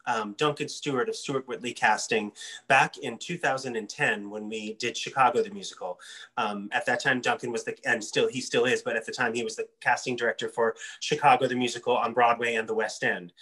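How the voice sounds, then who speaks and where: A man's voice: medium pitch, sharp tone, husky. A man who works as a casting director, giving thoughtful, explanatory answers in an interview.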